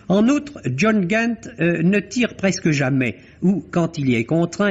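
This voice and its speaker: Husky, higher-voiced male film critic on vintage radio. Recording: dull vintage radio recording.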